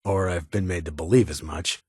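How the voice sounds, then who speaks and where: A guarded, duty-bound security chief in a video game. His voice is very husky and rough-edged, sitting on the low side. He speaks formally in measured, clipped phrases, recorded close and dry. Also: steady tone, a little mellow, no room sound. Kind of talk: scripted game character dialogue.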